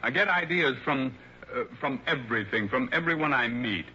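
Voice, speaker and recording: Older genial blind writer, very bright sharp voice, courteous halting talk, old radio drama, muffled noisy recording. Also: male voice, medium pitch, expressive. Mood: earnest, compulsive. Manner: courteous, talkative, halting with hesitations. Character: blind, genial older male mystery writer, wealthy and obsessive. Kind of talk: vintage radio drama acting.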